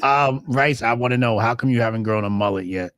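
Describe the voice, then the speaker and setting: A man hosting a news-and-crypto podcast, with a hoarse, gravelly voice and a drawn-out, exaggerated announcer delivery. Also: a bit bright, dry recording, clean studio sound. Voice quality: gravelly, deep radio-DJ sound. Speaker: show host. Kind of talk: casual podcast chat.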